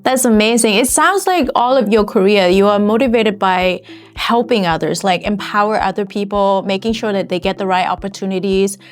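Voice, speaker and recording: A woman's voice: medium pitch, normal speed, soft background music. A woman hosting an interview, encouraging and affirming toward her guest, with frequent "like" fillers. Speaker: host. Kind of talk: interview conversation.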